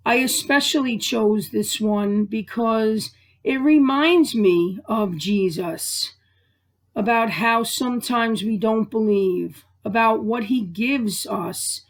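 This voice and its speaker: A woman librarian reading aloud to children and families in a deliberate, expressive storytelling way. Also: fairly high pitch.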